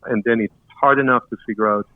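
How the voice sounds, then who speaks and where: A man's voice: medium pitch, steady tone, fast, no echo. A man in his fifties from Israel with an Israeli accent, talking upbeat and loosely as a guest, often dropping the subject of his sentences. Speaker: guest entrepreneur. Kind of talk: casual interview talk. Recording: tinny phone-line audio, dry.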